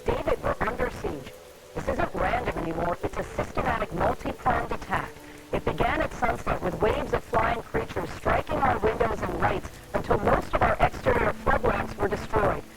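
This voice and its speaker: A woman's voice: on the high side, very animated, moderate pace, gravelly. A woman playing a grave, urgent field reporter under siege in a scripted apocalyptic drama, heard through static. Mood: urgent, tense. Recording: heavy static and noise over field transmission.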